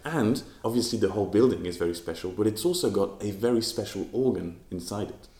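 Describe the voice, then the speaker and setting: A man hosts a travel podcast in a chatty, playful way, happy to ramble and laugh at his own gaps in knowledge. His voice sits a little low and sounds mellow. The room around him is noticeably echoey. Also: fast. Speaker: podcast host. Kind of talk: casual podcast narration and interviewing.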